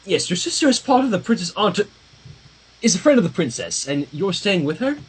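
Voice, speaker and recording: A man's voice: high voice, big pitch swings, fairly quick, husky, exaggerated voice, rough recording. A man voicing a stressed, proper female pony official who asks awkward questions in a story readaloud. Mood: puzzled.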